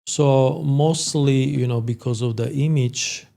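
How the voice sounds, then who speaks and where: A man in his fifties with a Slovak accent, a psychologist explaining things as an interview guest in a halting, hesitant way. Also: mid-range voice, creaky, warm tone. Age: 50s. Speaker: sports psychologist, podcast guest.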